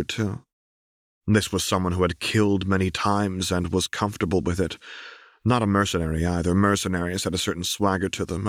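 A man's voice: low-pitched, no room sound, studio quality. A man reading fiction as a scripted audiobook narration.

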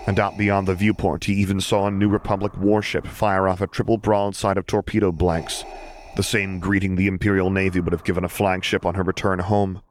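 A man with a low voice narrates an audiobook. His reading is scripted and dramatic, recorded with light noise behind it. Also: flat delivery, brisk. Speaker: audiobook reader.

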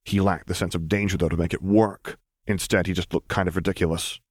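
A man's voice: low-pitched, fairly even intonation, brisk, slightly rough, dry recording, studio quality. A man reading scripted fiction as an audiobook narrator.

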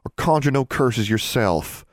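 Earnest country schoolgirl voiced by a man, folksy dialect, admonishing, raised pitch. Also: animated, unhurried, no echo, studio quality, natural voice. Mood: pleading.

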